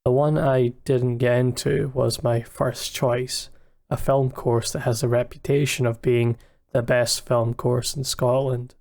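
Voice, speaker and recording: A young man narrates in a mid-range voice with very little rise and fall. His delivery is measured and candid, like a scripted look back on his own experience. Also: medium pitch, flat delivery, a little mellow. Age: young adult. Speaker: student filmmaker narrating own video. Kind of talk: scripted retrospective voice-over narration.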